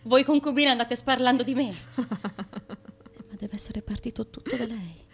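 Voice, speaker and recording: An actress in a theatrical radio drama playing a wronged, pregnant noble wife, reserved and anxious, with a plaintive, insistent delivery. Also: female voice, fairly high pitch, normal speed, slight background noise, lightly acted. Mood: indignant, wounded.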